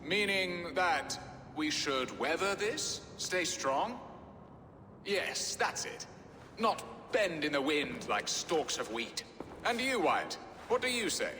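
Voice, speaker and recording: A pompous flowery lord in a game, bright voice goes all over, big echoey hall. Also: male voice, a little high, sing-song, slow, pauses often, full character voice. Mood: placating, pompous. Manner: florid, theatrical, pompous. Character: foppish, flowery middle-aged English lord, easily flustered. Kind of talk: theatrical video game acting. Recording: reverberant hall, faint background noise.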